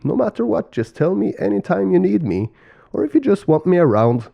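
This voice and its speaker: A man's voice: high-pitched, very animated, brisk, creaky, highs cut off, pitched above the performer's own voice, heavily acted. A man voicing a kind, earnest male turkey who is eager to please in a storybook tale. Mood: tender, eager.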